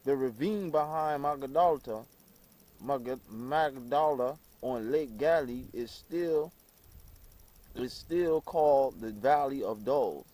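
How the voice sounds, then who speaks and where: A man reading an old book aloud in a halting way, stumbling over words and repeating phrases. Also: medium pitch, warm tone. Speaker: reader.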